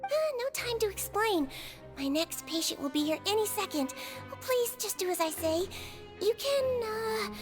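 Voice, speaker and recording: A woman voicing a small, gentle female melusine nurse in a video game, motherly and gently teasing. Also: very high-pitched, lively intonation, normal speed, music in the background. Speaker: prison nurse.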